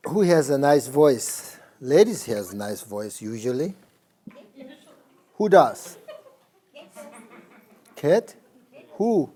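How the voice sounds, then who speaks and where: A man with a Tibetan accent leading students in Buddhist practice, chanting mantras slowly and explaining with halting, filler-filled phrasing. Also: mid-range voice, big pitch swings, mellow. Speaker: Buddhist teacher. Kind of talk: dharma teaching with mantra chanting. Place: teaching room with students.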